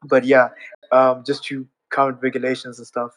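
A man's voice: medium pitch, completely flat delivery, moderate pace, a bit bright, no echo, poor audio. A man, earnestly explaining things step by step in a casual live audio chat. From Zimbabwe. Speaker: audience speaker.

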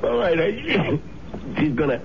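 Ailing nervous accomplice, highish voice, halting and pleading, old radio show with muffled noisy sound. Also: male voice, very animated, creaky, heavily acted. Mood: desperate, weak. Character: sick, desperate lodger, reluctant hired accomplice. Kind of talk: vintage radio mystery acting.

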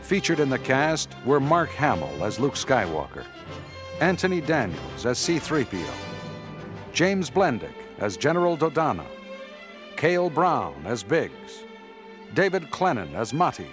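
A man narrating a radio drama in a solemn, measured announcer style. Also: mid-range voice, sing-song, music in the background. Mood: formal.

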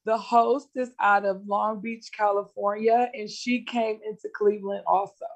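A woman small business owner answering interview questions over a video call, friendly and upbeat, her voice a little raspy. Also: mid-range voice, fairly even intonation, moderate pace. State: slightly raspy voice.

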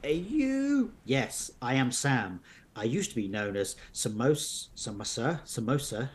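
A man's voice: medium pitch, big pitch swings, measured pace. A man voicing a shy, timid child in a storybook adventure game. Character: shy, pale young pen pal, timid child.

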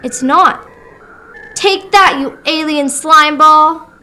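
A woman's voice: high voice, slow, sharp tone, exaggerated voice. A woman playing a cheeky young girl hooked on video games in a radio play, whiny and defiant.

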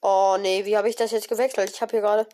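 A woman with a cold, giving casual, excited commentary on her own gameplay with many fillers and exclamations. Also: medium pitch, fast. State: has a cold. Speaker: gaming video creator. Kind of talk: casual let's-play commentary.

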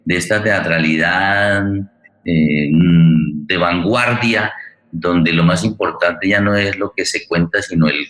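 A man with a very low voice hosts a discussion. He phrases his questions formally and discursively. His delivery is halting and deliberate, with academic wording. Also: fairly bright tone. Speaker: interviewer and forum host.

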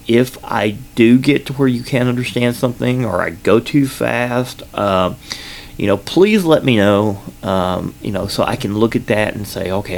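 A man with a Southern US accent talks into a sensitive studio microphone, hosting his own podcast. His voice sits low and carries a gravelly fry. He rambles casually, circling back with ums and restarts. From Kentucky, United States. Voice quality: loud voice at times. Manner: rambling, filler-heavy, self-correcting. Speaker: podcast host. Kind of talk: casual solo podcast update.

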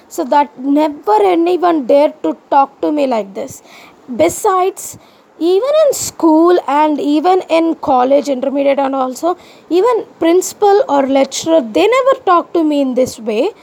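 A woman's voice: sits high, gravelly, darkish tone. A young woman with an Indian English accent, whose first language is neither Hindi nor English, venting in a personal podcast monologue with impassioned rhetorical questions. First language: Indian language other than Hindi.

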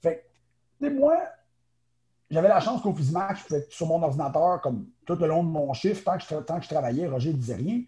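Bright-voiced Quebec French man, chatty. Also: on the high side, animated. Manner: chatty, quick, many fillers and restarts. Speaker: guest, naturopath. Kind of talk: casual interview talk.

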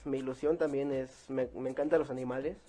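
A man's voice: medium pitch, steady tone, a little fast, dark tone. A man, chatting casually as an interview guest. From Mexico. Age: 20s. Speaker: interview guest, circus clown.

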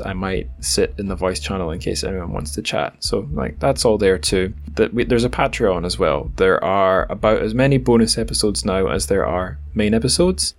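A man hosting a podcast, chatting casually with wry, self-deprecating, rambling asides. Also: lowish voice. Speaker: podcast host. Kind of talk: casual podcast chat and outro.

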